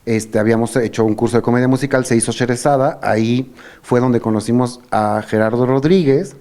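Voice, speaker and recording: A man's voice: mid-range voice, fairly quick, a little mellow. A middle-aged man with a Mexican Spanish accent, hosting and telling stories in a casual, reminiscing chat. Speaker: host.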